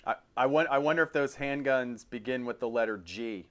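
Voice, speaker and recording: A man's voice: medium pitch, fairly quick, a bit bright. A middle-aged man with an American accent hosts a podcast in a casual, chatty style with a wry, jokey streak. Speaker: podcast host. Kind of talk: casual chat about firearms.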